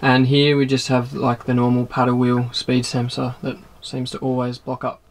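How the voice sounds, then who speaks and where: A man in his thirties hosting a casual sailboat tour, explaining things in a relaxed way with plenty of fillers, recorded outdoors on deck. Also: mid-range voice, normal speed. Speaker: sailing vlog host. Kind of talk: casual boat tour walkthrough.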